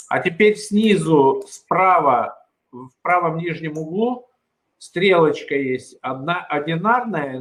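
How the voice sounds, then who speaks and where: A man's voice: a little high, a bit bright. Patient man walking someone through a setup. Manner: patient, instructive, talks through steps. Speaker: conference tech moderator. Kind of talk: casual tech support over video call.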